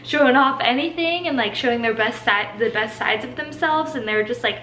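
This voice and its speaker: A woman's voice: on the high side, normal speed, fairly bright tone, echoey room, slight background noise, low-quality recording. A woman in her twenties, a fitness coach whose first language is Portuguese, giving tips in a chatty, upbeat Q&A with lots of "like"s. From Brazil. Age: 20s. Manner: chatty, filler-heavy with many "like"s, upbeat. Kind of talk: casual Q&A monologue.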